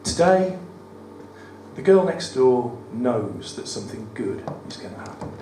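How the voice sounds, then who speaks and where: A man with a West Midlands accent and a croaky voice, reading his poems at a live event with wry, self-deprecating banter. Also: mid-range voice, big pitch swings, unhurried, warm tone, recorded from a distance. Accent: West Midlands English. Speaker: performance poet. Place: studio theatre at a live cabaret event. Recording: roomy live venue, mic from afar, audible room noise. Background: audience ambience.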